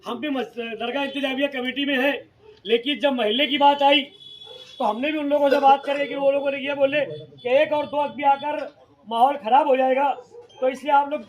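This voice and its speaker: Male meeting moderator, extremely high voice, explanatory, checks back with listeners. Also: rough recording. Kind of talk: impromptu public address.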